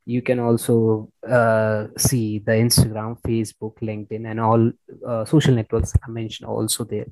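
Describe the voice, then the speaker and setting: A man's voice: lowish voice, completely flat delivery, normal speed, darkish tone, no room sound, low-quality recording. A man hosting a conversational interview, often repeating his words and phrases as he goes. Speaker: podcast host interviewing a guest.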